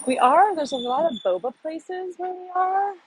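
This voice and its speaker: A woman's voice: extremely high pitch, lively intonation, normal speed, rough recording. A middle-aged woman from the United States, rambling and thinking aloud as she films a casual walking vlog outdoors on city streets. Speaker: vlogger. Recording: faint street noise behind.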